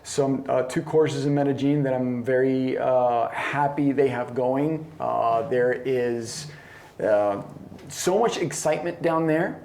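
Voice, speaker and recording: A middle-aged man who grew up in Colombia talks in a relaxed, conversational way as a sports coach. His delivery is enthusiastic, with an average-pitched voice. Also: slow, creaky, slight background noise. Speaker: disc golf coach. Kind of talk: casual chat.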